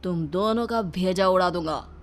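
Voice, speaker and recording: A man's voice: high voice, normal speed, a bit bright, slight background noise. A man voicing a blustering street robber in a cartoon story, bossy and mocking. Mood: threatening. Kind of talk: Hindi cartoon story dubbing. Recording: background music behind the voice.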